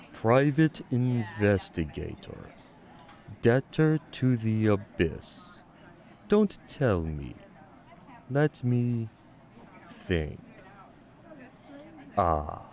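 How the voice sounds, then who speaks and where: An actor playing an eerie coffee-shop god who speaks in a ponderous, theatrical announcing manner. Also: male voice, on the low side, darkish tone, heavily acted.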